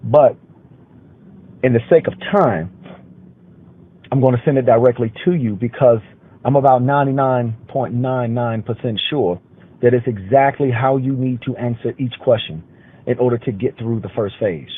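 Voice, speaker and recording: A man leaving a deliberate, formal voicemail with instructions, recorded over a phone line. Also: mid-range voice, husky. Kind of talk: voicemail message giving instructions. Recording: tinny, noisy phone voicemail.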